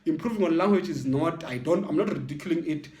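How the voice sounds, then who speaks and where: A South African man from the Eastern Cape, a football channel host, speaking in a casual reflective monologue with halting restarts and repeated words. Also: a little high, a little mellow. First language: Xhosa and Sotho mix. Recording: echoey room.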